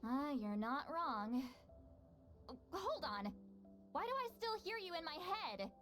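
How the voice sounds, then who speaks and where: A woman's voice: high-pitched, very animated, takes it slowly, fairly bright tone, soft background music, full character voice. A woman playing a youthful, proud, aloof shaman in a video game, with a girlish voice and a dry, casual manner. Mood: flustered. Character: two-century-old yet youthful female shaman, aloof, proud, easily flustered. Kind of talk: video game character acting.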